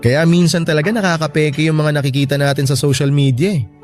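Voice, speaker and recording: A man's voice: on the high side, steady tone, fast talker, a little mellow, close to an everyday voice. A man playing a devoted, sentimental boyfriend in an audio love drama, talking in an affectionate, conversational way. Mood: fond, chatty. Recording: music bed behind the voice.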